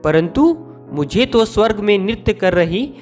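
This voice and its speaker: A man's voice: high-pitched, expressive, measured pace, a little mellow, soft background music. A man playing a vain, boastful maharaja in a cartoon story, with a grand manner. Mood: boastful delight.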